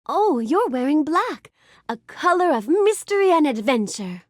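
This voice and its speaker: A woman's voice: sits high, big pitch swings, measured pace, no echo, studio quality. A woman voicing a gracious, bookish young storybook heroine in a video game, speaking politely and expressively with careful enunciation. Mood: friendly curiosity. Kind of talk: scripted video game character lines.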